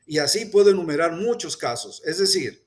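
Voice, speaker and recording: A man's voice: a little high, lively intonation. Earnest Spanish-speaking man at a press panel. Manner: earnest, deliberate, with hesitations and restarts. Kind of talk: formal public statement.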